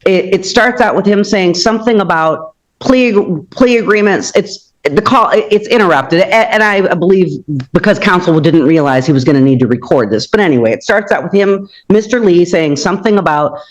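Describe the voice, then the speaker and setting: A woman's voice: lowish voice, normal speed, rough recording. A woman speaking as a defense attorney in court, explaining her argument in an insistent manner.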